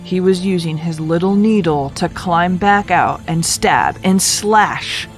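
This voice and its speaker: A woman narrating a children's folktale as a read-aloud, with expressive, deliberate delivery. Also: on the low side, faint music behind. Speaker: storyteller.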